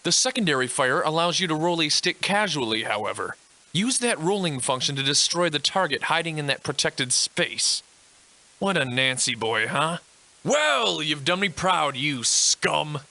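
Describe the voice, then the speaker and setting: A man's voice: fairly high pitch, lively intonation, moderate pace, sharp tone, full character voice. A man voicing a pompous, eccentric Victorian-style instructor in a video game, cheerfully insulting and florid in his old-fashioned phrasing. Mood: stern, patronizing, then gleefully taunting. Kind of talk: theatrical video game tutorial narration.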